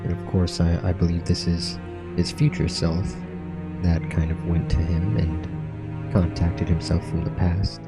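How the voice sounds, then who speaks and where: A man's voice: sits low, level tone, measured pace, over loud music. A man hosting a gaming channel, casually narrating and commenting as he plays through a game.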